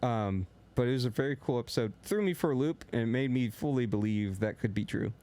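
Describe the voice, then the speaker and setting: A young man co-hosting a sports podcast in casual chat. He talks a little loud and rambles, with lots of filler words. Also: medium pitch, a little fast. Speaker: podcast co-host.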